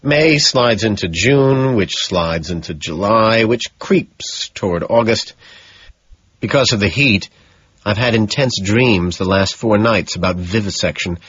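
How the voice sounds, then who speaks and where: A man's voice: on the low side, unhurried, a bit bright, husky. A man narrating a novel in the first person as a scripted audiobook. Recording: dull, echoey room sound.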